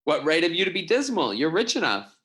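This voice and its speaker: A man acting a cheerful, warm-hearted young nephew with a friendly, pleading manner in an amateur radio play. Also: on the high side, very animated, a little fast. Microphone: video call microphone.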